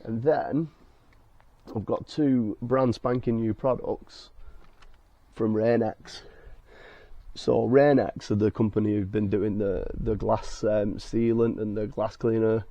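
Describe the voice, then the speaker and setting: A man with a croaky, hoarse voice from being ill, hosting a car detailing vlog and explaining each step in a chatty way with many fillers. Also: fairly low pitch, fairly even intonation, takes it slowly, dark tone, dry recording. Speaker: car detailing channel host. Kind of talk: casual talk to camera with fillers. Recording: dull lo-fi sound.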